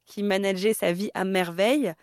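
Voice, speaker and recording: A woman's voice: mid-range voice, steady tone, normal speed, darkish tone, no echo, studio quality. A woman in her thirties from Paris, speaking candidly in a conversational way as an interview guest. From Paris, France. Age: 30s. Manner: candid, conversational, many fillers.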